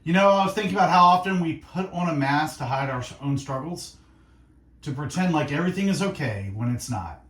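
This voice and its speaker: A man hosting faith-based radio, speaking in an earnest, reflective monologue of encouragement with short, deliberate phrases. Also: on the high side, animated, a bit bright, echoey room. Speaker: radio host. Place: radio studio.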